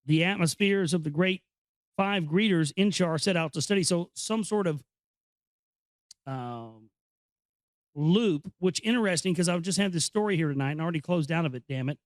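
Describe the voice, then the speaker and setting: A man hosting an informal read-aloud, explaining and commenting in a halting, conversational way. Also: high-pitched, no room sound. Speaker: host reading a book aloud with commentary. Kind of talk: informal reading with off-the-cuff commentary.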